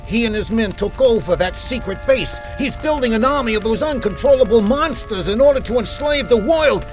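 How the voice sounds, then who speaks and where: An actor playing an emphatic, theatrical gangster rival in a video game. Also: male voice, extremely high pitch, expressive, normal speed. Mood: grave, explaining. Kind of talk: video game cutscene acting. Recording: music behind the voice. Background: game music.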